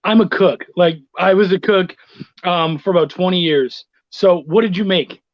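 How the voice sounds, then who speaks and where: High-voiced man on a dull, dry recording. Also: normal speed, no room sound. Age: middle-aged. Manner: chummy, profane. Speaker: podcast guest. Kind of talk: casual storytelling chat.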